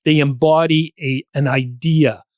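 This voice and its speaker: A man speaking as a podcast guest in a thoughtful discussion, explaining ideas with halting pauses. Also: on the high side, fairly even intonation, a little mellow, no room sound, studio quality. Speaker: podcast guest, playwright and actor.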